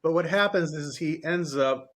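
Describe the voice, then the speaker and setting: A man's voice: fairly high pitch, normal speed. A man co-hosting a casual book discussion, talking in a rambling way that often repeats and restarts phrases. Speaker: podcast co-host.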